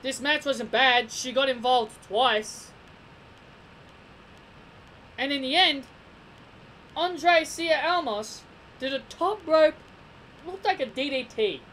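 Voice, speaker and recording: A young man giving a casual wrestling review from his bedroom, excitable and repeating himself with stammering restarts, recorded on a desk webcam. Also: very high voice, slow pace, long pauses. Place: small bedroom. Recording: noisy home webcam recording.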